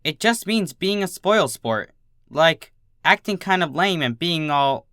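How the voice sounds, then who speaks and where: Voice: medium pitch, lively intonation, a bit bright, dry recording, clean studio sound, pitched above the performer's own voice. A storybook reader voicing a young schoolgirl pony filly, earnest and easily bewildered. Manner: halting, mumbling when flustered. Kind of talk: storybook character voice in fan fiction reading.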